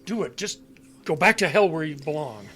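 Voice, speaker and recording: A man's voice: on the high side, expressive, moderate pace, bright, forceful tone, soft background music. An actor playing a forgetful, stubborn elderly father in an audio drama, with a folksy, stammering, muttering manner. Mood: defensive.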